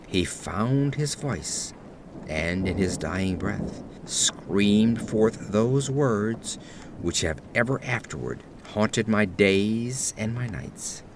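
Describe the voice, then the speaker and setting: Slow, gravelly male horror narrator. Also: on the low side, slight background noise. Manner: measured, suspenseful storytelling. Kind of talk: dramatic scripted narration of gothic horror fiction. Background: ambient sound effects.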